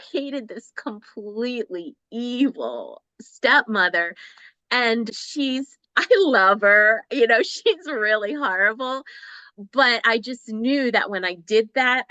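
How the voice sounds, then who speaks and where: A woman in her fifties talks eagerly about her writing as an interview guest, often restarting her sentences. Her voice is clear and bright, and the recording is dry and close. She sounds warmly enthusiastic throughout. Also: on the high side, expressive, slow pace, no room sound. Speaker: romance author, guest. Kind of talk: casual interview chat.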